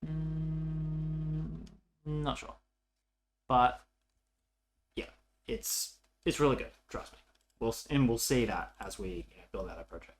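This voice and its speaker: A man presenting a programming tutorial in a casual, unscripted way, haltingly thinking aloud as he works. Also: on the high side, fairly even intonation. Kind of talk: casual coding walkthrough, unscripted.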